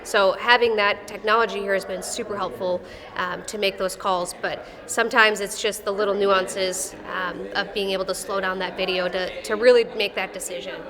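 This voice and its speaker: A woman's voice: mid-range voice, steady tone, moderate pace. A woman in her thirties with a Midwestern accent and a slight Texas drawl speaks in a friendly, matter-of-fact way. Her voice carries a long arena echo. Crowd chatter fills the background. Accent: Midwestern American with a slight Texas drawl. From Wisconsin, USA. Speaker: wrestling official. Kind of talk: casual interview answers. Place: wrestling tournament arena. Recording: echoey arena with crowd noise.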